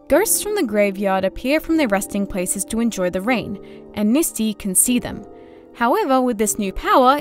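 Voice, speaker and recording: A woman narrating a scripted recap of a fantasy tale. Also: fairly high pitch. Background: background music.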